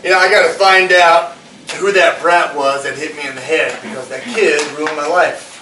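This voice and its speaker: A man's voice: on the high side, moderate pace, pushed and bright. An actor at a live table read, playing a brash but remorseful young man who talks casually and slangily. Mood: defensive, irritated. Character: brash young male slacker, remorseful self-confessed jerk. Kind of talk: live staged script reading. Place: live staged event in a room. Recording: roomy, distant microphone, faint noise.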